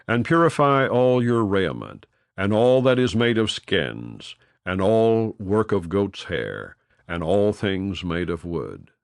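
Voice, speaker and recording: A man narrating an audiobook of scripture in a solemn, formal and measured way, with deliberate phrasing. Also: lowish voice, vocal fry, dry recording, clean studio sound.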